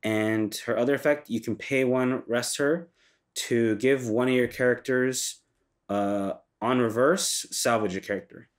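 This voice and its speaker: A young man with a congested, stuffy-nosed voice hosts a casual explainer. He sits at an ordinary middle pitch and talks loosely, with frequent ums and restarts. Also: steady tone, a little slow. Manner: loose and conversational, frequent ums and self-corrections. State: congested from a cold. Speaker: channel host reviewing a card game deck.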